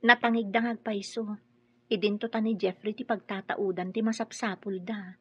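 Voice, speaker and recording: Woman acting a husky, loyal Ilocano daughter. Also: mid-range voice, flat delivery, normal speed, fairly bright tone, lo-fi sound. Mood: concerned. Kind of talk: Ilocano radio drama acting.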